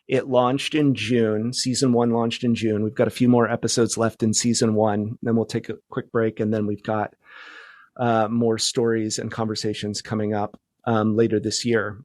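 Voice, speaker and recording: A man's voice: on the low side, little pitch movement, moderate pace, darkish tone, no room sound. A man speaking as a podcast guest in casual interview talk, explaining things in a loose, hesitant way.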